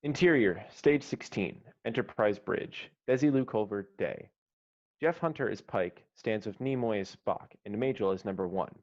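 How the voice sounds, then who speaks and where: A man's voice: lowish voice, moderate pace, a little mellow. A man reading screenplay stage directions as narrator at an online table read. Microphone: video call. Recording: dull lo-fi online call audio.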